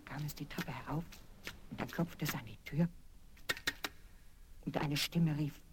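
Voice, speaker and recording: A woman narrating a scripted fairy tale for children in an expressive, deliberate storytelling manner. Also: low voice, noisy background. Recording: vinyl record transfer with music.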